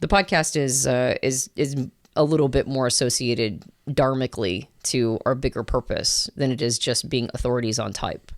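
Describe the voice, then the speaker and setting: A woman's voice: very deep voice, normal speed, hardly any pauses, dry recording, clean studio sound. A woman co-hosting a podcast, talking in a reflective, searching way with many fillers and restarts. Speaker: podcast co-host. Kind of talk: casual conversation.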